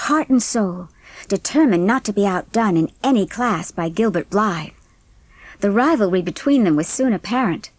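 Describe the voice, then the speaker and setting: A woman narrator reading a scripted storybook in a measured, expressive way. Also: medium pitch, dry recording.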